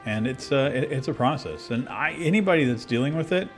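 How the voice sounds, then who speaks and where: A man's voice: medium pitch, lively intonation, a little fast, soft background music. A man talking casually and candidly while playing a video game, rambling with many fillers and restarts. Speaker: gaming video creator. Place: abroad, recording at a computer.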